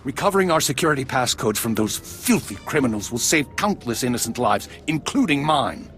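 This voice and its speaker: An actor playing an anxious, pleading diplomatic minister in a video game, agitated and dramatic. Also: male voice, mid-range voice, sing-song, a little fast, a bit bright, soft background music. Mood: anxious urgency. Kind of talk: video game character dialogue.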